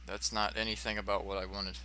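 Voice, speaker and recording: A man's voice: on the low side, little pitch movement, brisk, pushed and bright, slight background noise. A man giving casual, sweary and self-deprecating commentary as he plays a video game. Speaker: let's player.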